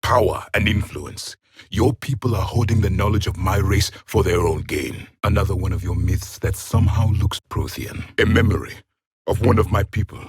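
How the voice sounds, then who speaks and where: Casting: stern alien warrior, game character, disdainful formal delivery, slightly husky, very dry studio sound. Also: male voice, on the low side, no room sound. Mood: haughty, grave. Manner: haughty, disdainful, formal measured speech. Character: proud, stern alien warrior, last of an ancient race. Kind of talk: video game character voice lines.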